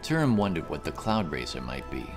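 A man's voice: very deep voice, big pitch swings, fairly quick, creaky, music in the background. A man narrating a scripted fantasy adventure story as the narrator of an audio drama.

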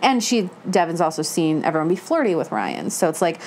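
A woman with a slightly low voice talks informally in a book discussion, repeating phrases for emphasis. She is recorded indoors in a living room with some noise in the background. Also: sing-song, fairly quick, a little mellow. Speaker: book club co-host.

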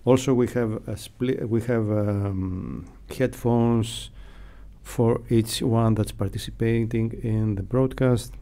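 Mellow middle-aged man. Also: mid-range voice, fairly even intonation, slow pace. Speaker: radio sound technician, interviewee. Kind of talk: unscripted explanatory interview answers. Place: acoustically padded radio studio. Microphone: close broadcast mic.

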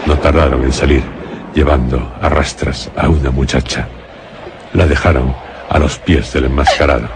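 A man narrating a radio horror drama as a grave storyteller, solemn and deliberate, with a Castilian accent. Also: very deep voice, steady tone, background noise. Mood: grave suspense. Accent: Castilian Spanish. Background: music.

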